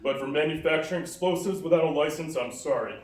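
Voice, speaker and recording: A man's voice: a little high, fast, a little mellow, natural voice. A man playing a stern but fair judge, formal and authoritative, in a live radio play. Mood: formal, official. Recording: echoey hall from far off, noisy.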